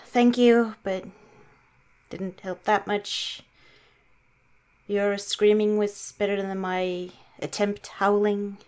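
A woman playing a shy, awkward young werewolf girlfriend in improvised ASMR roleplay, halting and self-mocking. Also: mid-range voice, little pitch movement, a little mellow, clean tone, natural voice. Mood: self-deprecating.

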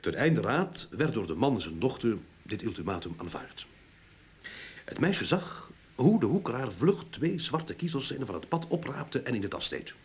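An actor playing a talkative, enthusiastic sleuth in a radio drama, explaining things eagerly in a husky voice with emphatic repeats. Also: male voice, mid-range voice, sing-song, moderate pace, exaggerated voice. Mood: storytelling. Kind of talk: Dutch radio drama acting.

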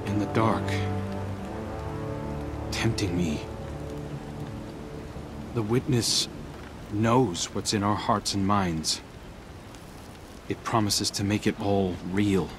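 Brooding, low-voiced young warrior. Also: male voice, steady tone, slow pace, long pauses, over music. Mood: grave, troubled. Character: brooding, guarded young male warrior, earnest and troubled. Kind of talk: dramatic video game dialogue.

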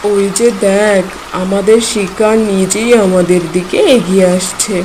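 A woman's voice: medium pitch, steady tone, measured pace, a little mellow, a little hiss or hum, natural voice, rough recording. A woman voicing a hungry, menacing male hawk that preys on small birds in a cartoon. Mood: gloating.

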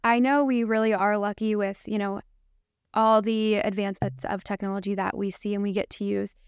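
A woman's voice: medium pitch, flat delivery, brisk, clean tone, no room sound. A young woman hosting a podcast in a small studio, chatting in an upbeat, enthusiastic way. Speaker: podcast host. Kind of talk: casual podcast chat. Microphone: studio mic on boom at the mouth.

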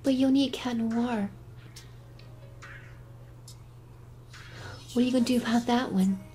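A woman playing an anxious, conscientious teenage superheroine in an audio drama, hesitant and breathy, often dropping toward a whisper. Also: fairly high pitch, quick pace, dark tone, natural voice. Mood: hesitant, curious. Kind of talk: fan audio drama acting. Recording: music under the voice.

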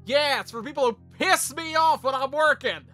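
Brash, sharp-voiced cartoon imp. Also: male voice, very high-pitched, normal speed, faint music behind, pitched above the performer's own voice. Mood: irritated, sardonic. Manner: brash, sardonic, profane. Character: foul-mouthed, brash male cartoon imp assassin. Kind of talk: cartoon character banter.

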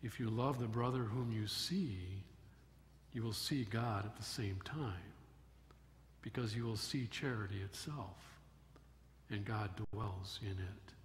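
An older man giving a sermon from a church pulpit, telling a story in a measured way with emphatic rises. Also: on the low side. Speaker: pastor. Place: church sanctuary at a wooden pulpit. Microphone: pulpit microphone. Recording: long church reverb, faint room noise.

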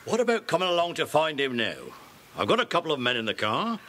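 A genial, commanding police inspector in a children's audio play. His voice is bright and lively, rising and falling widely. A faint tape hiss sits underneath. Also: a little high, quick pace. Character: kindly police inspector, adult man.

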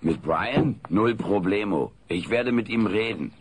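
Cheeky low-voiced alien wisecracker. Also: male voice, lively intonation, a little slow. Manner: brash, sarcastic, cocky. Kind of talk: German comedy audio play dub. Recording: noisy old cassette recording.

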